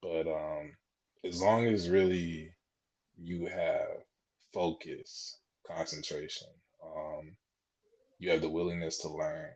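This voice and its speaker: A man's voice: extremely low pitch, flat delivery, slow pace, a little mellow, husky. A man in his twenties giving casual interview answers in an explanatory, conversational way, as a guest on a podcast. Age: 20s. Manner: explanatory, conversational, frequent "you know". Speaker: podcast guest, air traffic controller trainee. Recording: dull, muffled lo-fi audio.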